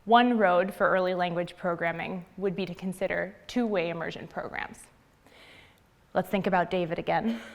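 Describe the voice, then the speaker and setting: A young adult woman from the United States giving a prepared public talk in an earnest, measured way with deliberate pauses. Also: lowish voice. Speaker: graduate student speaker.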